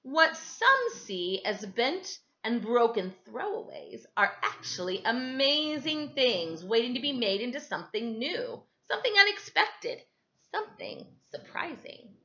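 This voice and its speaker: Storybook schoolteacher, played by a woman reader: kindly, encouraging, bright and animated, slightly dull recording. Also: a little high, unhurried, voice pushed up, heavily acted. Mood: wondering. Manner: tender, wistful, emphatic.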